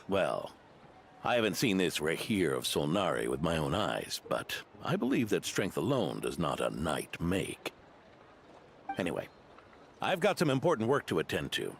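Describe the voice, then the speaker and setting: A man voicing a kindly, semi-retired old knight with a gravelly voice and a gently wise manner in an anime-style video game. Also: sits low, huge pitch swings, a little slow, slight background noise, heavily acted. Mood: wise, genial. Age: older.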